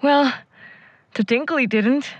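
A young woman voiced by an actress in a naturalistic audio drama, caring and a little wry. She talks slowly in a higher voice, with hesitant, casual phrasing. The sound is close and dry. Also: no echo, muffled recording. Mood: wry, subdued. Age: young adult. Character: shaken but caring young woman, wry pre-med student.

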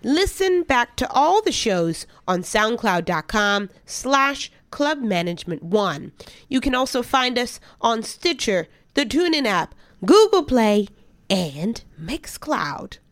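A woman in her late twenties hosting a podcast, with a stuffy nose from a cold, reading in a lively, expressive way. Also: a little high, slow. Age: late 20s. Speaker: podcast host and DJ. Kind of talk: scripted podcast narration.

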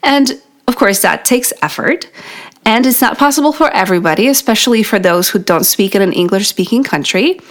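A woman's voice: mid-range voice, lively intonation, brisk. A woman in her thirties with a New York accent, a native Polish speaker, hosting a solo podcast and encouraging listeners directly while a little out of breath. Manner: encouraging, talks straight to the listener. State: out of breath from pregnancy. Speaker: podcast host. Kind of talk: solo podcast teaching tips.

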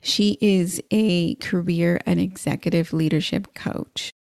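Gravelly-voiced woman podcast host. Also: on the low side, steady tone, unhurried, darkish tone, no room sound, clean studio sound. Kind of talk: casual podcast interviewing.

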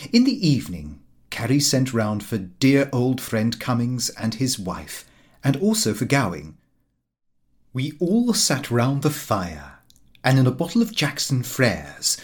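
Animated male audiobook narrator. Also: mid-range voice. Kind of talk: scripted literary narration of a comic diary.

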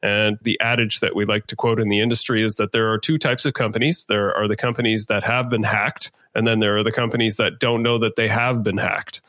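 A man speaking as an interview guest. His voice sits fairly low but has a bright, forceful edge, with a dry close sound. He explains things in a measured, conversational manner. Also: fairly even intonation, dry recording. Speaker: podcast guest, management consultant.

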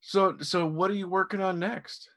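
A man hosting a podcast interview in casual chat, enthusiastic, with halting phrasing that circles back on itself. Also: high voice, fairly even intonation, fairly bright tone. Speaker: podcast host.

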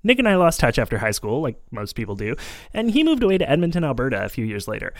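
Podcast host, man, quick and chatty, close dry studio mic. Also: medium pitch, wildly swooping pitch, no room sound. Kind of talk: casual chat. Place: home studio.

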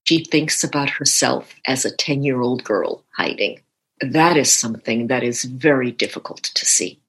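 Low, husky-voiced woman interviewee. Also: moderate pace, a bit bright. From United States. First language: Ukrainian. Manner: reflective and personal, with light laughs.

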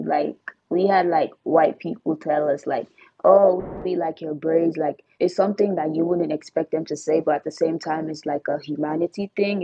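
Young female podcast guest from Nigeria, deep voice, explanatory and chatty, on a slightly muffled recording. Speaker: interview guest, student. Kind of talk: casual podcast conversation.

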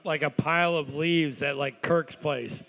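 A man co-hosting a trivia night, throwing in absurd deadpan jokes and egging the teams on. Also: a little high, a little slow, vocal fry. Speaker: co-host. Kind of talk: joking banter.

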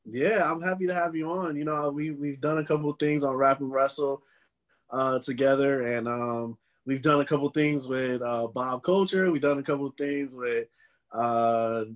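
A man hosting a podcast, chatting casually with a guest in an energetic, slangy way and laughing a lot. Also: mid-range voice, normal speed. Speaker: podcast host.

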